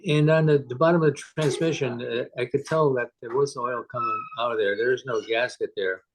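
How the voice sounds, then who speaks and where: A man's voice: mid-range voice, steady tone, brisk, poor audio. A man talking casually on a technical group call as a club member asking repair questions, thinking aloud in a hesitant way.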